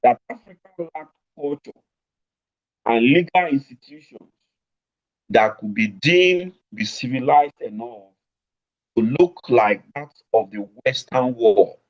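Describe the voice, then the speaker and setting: A man lecturing a law class online, stretching out key words with deliberate emphasis. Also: mid-range voice. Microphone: remote call over network. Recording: dull lo-fi online audio.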